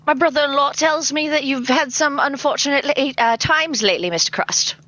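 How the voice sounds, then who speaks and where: Woman putting on high, bright British lady. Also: a little fast, highs cut off. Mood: gracious. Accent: put-on British. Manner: theatrical, dramatic exclamations. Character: genteel British titled lady, melodramatic and tender-hearted. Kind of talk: amateur radio play read-through.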